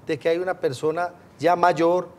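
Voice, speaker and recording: A man's voice: fairly high pitch, level tone, moderate pace, a little mellow. A man explaining things in an interview, friendly and speaking straight to the host. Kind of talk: interview answers.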